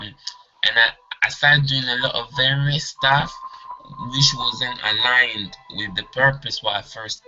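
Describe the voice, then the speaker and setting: A man in his twenties from Congo who grew up partly in England, a non-native English speaker presenting an informal personal lesson in halting phrases. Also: mid-range voice, bright, forceful tone. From Congo, then England. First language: not English. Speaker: course presenter, trainer. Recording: dull lo-fi audio, music behind.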